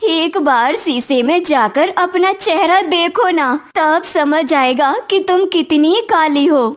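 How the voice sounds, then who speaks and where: Woman acting a very high-pitched, vain young daughter-in-law. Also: takes it slowly. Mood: scornful. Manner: haughty, taunting. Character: vain, spiteful young daughter-in-law proud of her beauty. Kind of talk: cartoon moral-story acting.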